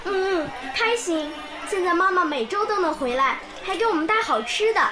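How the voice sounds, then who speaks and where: An actress voicing a bright, curious young village girl who tells stories eagerly, in a radio drama. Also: very high voice, moderate pace, crowd noise. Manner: lively, eager storytelling. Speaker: pupil. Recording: background music under the scene.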